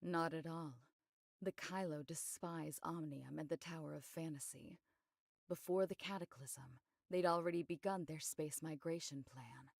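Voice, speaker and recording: A composed, discreet woman investigator from an anime game. Her voice sits slightly low with a clear fry in it. She briefs in a measured, matter-of-fact way, recorded close and dry. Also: no echo. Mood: measured, explanatory. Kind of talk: scripted anime game voice acting.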